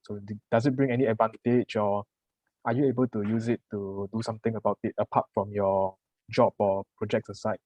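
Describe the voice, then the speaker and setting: A man's voice: fairly low pitch, little pitch movement, brisk, a little mellow, no room sound, dull audio. A man from Singapore interviewing a guest, asking curious, friendly questions.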